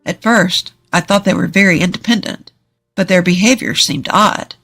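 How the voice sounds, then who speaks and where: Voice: medium pitch, normal speed, pushed and bright. A narrator reading a scripted first-person story, as a native English speaker. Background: music.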